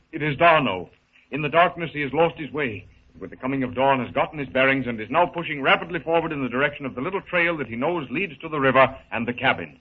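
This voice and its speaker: Man, radio serial narrator, formal dramatic storytelling, mid pitch, 1930s style, narrow hissy recording. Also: breathy edge.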